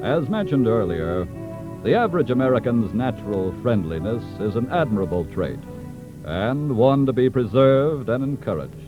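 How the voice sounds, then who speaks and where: A man's voice: medium pitch, very animated. Solemn male radio narrator. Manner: solemn, measured. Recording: dull old radio broadcast with music.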